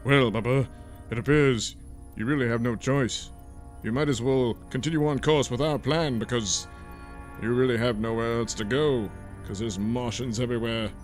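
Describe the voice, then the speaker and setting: A man's voice: medium pitch, normal speed, soft background music, lightly acted. A man playing a pompous, genteel, self-assured resistance member, speaking formally in a comic sci-fi audio serial. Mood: earnest urging.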